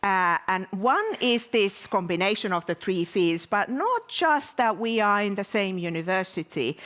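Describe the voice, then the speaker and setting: A woman speaking as a university provost, giving a welcome speech to an event audience with frequent pauses and repeated words. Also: lowish voice. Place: live event venue at a university.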